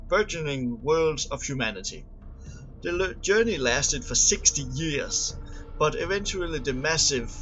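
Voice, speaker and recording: A man reading scripted science fiction lore aloud as an amateur narrator, a little halting on long words. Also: a little high, fairly bright tone, low-quality recording.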